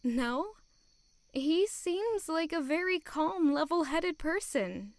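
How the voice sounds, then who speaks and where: High-voiced anxious young woman, acted by a woman. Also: expressive, slow, no room sound, full character voice. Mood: earnest. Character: anxious, lovelorn young servant woman, sweet and earnest. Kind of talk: audio drama acting.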